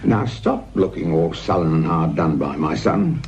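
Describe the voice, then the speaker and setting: An actor playing a cynical, world-weary older British police sergeant near retirement, in a naturalistic radio drama. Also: male voice, low-pitched, sing-song, unhurried, darkish tone, heavily acted. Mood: sardonic, dismissive. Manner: sardonic, world-weary, paternal to his junior. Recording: muffled radio recording with noise.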